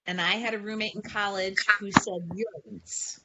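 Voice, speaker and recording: A woman originally from Connecticut telling a small story slowly on a video call, with an amused tone. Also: medium pitch, dull audio, very noisy. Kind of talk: casual video-call chat.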